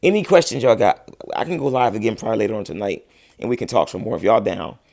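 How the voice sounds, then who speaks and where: A man's voice: lowish voice, expressive, fast talker, gravelly, a little mellow, dry recording, clean studio sound. A man in his thirties chatting casually on a livestream, answering viewers with laid-back slang. He says he is losing his voice, and his talk is full of restarts. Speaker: livestream host, musician.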